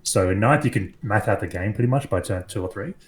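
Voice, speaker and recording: An Australian man talking as a guest on a casual podcast, enthusiastic and animated, swearing casually. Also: fairly low pitch, fast.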